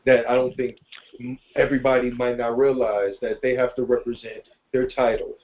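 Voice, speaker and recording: A man's voice: mid-range voice, little pitch movement, moderate pace. A man speaks in a mid-range voice over a dull, lo-fi conference call. He chats informally and rambles a little, in an affirming, motivational way. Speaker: participant in a self-improvement conference call. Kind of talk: casual motivational conversation. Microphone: conference call line.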